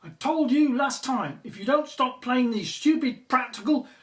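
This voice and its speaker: A man's voice: very high voice, moderate pace, fairly bright tone. An actor playing a smug, grumbling male Egyptologist with a British accent, talking to himself in an audio drama. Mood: irritated.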